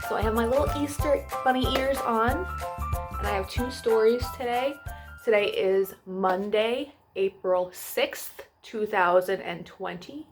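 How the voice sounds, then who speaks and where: A woman's voice: on the high side, a little slow, soft background music. A woman hosting a children's storytime, reading aloud and addressing young listeners in a sing-song way.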